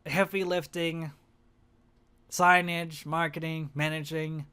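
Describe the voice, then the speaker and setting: A young man with a hoarse voice and a stuffed-up nose, rambling hesitantly in a casual vlog for his gaming channel. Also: on the high side, level tone, slow pace, pushed and bright. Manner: rambling, hesitant, self-conscious.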